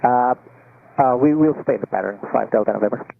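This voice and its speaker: A man, a pilot, giving halting, hesitant readbacks over aviation radio. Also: medium pitch, vocal fry. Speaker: airline pilot. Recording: tinny narrowband cockpit radio transmission.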